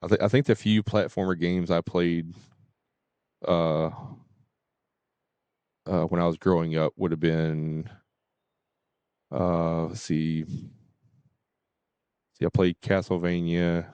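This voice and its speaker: A man's voice: sits low, level tone, slow, pauses often, a little mellow, smooth, no echo. A man streaming games and chatting casually in a hesitant, rambling way. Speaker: game streamer. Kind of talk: casual livestream chat.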